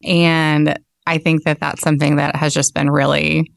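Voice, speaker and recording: A woman's voice: low voice, little pitch movement, moderate pace, creaky, no echo, studio quality. A woman with an American accent explaining things casually as a shop owner being interviewed. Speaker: bookstore owner, interview guest.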